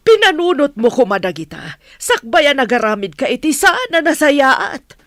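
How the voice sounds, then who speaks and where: A woman's voice: high-pitched, sing-song, moderate pace, a bit bright, no echo, clean studio sound. Stern Filipina mother, swooping intonation. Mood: stern, reproachful. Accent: Filipino, Ilocano. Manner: stern, reproachful. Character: stern, protective middle-aged mother confronting a wrongdoer. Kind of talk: Ilocano radio drama acting.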